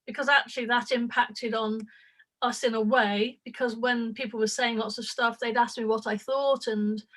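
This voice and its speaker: A woman's voice: fairly high pitch, a bit bright, poor audio. An older woman, a writer being interviewed over a video call, talking openly and affectionately with frequent laughs. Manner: open and affectionate, laughing often, rambling with fillers. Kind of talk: casual interview chat.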